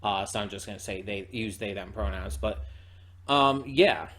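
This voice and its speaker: A man giving unscripted, casual reaction commentary in a rambling, halting way, often repeating words and hedging as he goes. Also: fairly low pitch, fairly bright tone. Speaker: reaction channel host.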